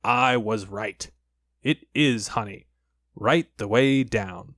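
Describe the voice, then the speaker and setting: A man voicing a gentle, slow-witted storybook toy bear who muses in a simple, puzzled, childlike way. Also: lowish voice, no room sound, studio quality. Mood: earnest.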